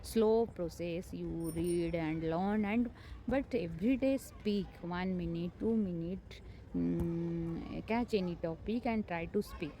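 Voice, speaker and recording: A woman speaking English as a second language talks straight to camera at home, full of pauses, fillers and fresh starts as she encourages her listeners. Her voice sits on the low side, and a faint hum of household noise runs behind her. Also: dark tone, low-quality recording. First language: not English. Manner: hesitant, many fillers and restarts, encouraging. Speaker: homemaker sharing English-learning tips. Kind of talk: unscripted motivational talk to camera.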